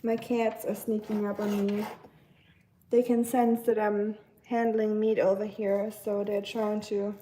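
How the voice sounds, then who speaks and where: A woman's voice: medium pitch, level tone, unhurried, background noise. A young woman chatting casually on a live stream while cooking at home, reading comments with lots of fillers and giggly asides. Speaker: livestreamer.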